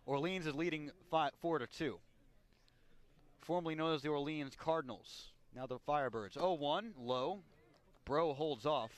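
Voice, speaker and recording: Higher-voiced American man calling baseball play-by-play. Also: measured pace. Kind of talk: live radio sports commentary. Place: ballpark radio broadcast.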